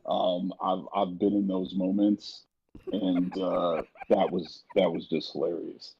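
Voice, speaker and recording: Low, creaky-voiced man chatting casually. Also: flat delivery, mellow, lo-fi sound, a little hiss or hum. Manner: halting, repeats and restarts phrases. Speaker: guest on an audio drama after-show. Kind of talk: casual panel chat.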